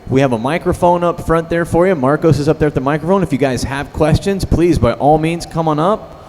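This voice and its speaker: A man's voice: on the high side, fairly quick, a little mellow. A man moderating a panel, casually addressing the audience. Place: convention panel room with PA microphone. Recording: echoey hall, background noise.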